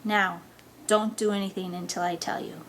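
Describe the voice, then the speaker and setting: A woman voicing a bossy, excitable, nerdy boy scientist in a story reading. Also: medium pitch, normal speed, a little hiss or hum, natural voice, poor audio. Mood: bossy, precise.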